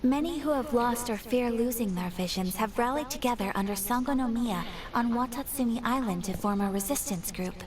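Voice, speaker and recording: Woman playing a poised, formal young noblewoman, higher-pitched. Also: distant mic, a crowd in the background, close to an everyday voice. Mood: composed, courteous. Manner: formal, measured, precise diction. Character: poised, courteous, grave young noblewoman of a clan. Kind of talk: scripted fantasy game voice acting.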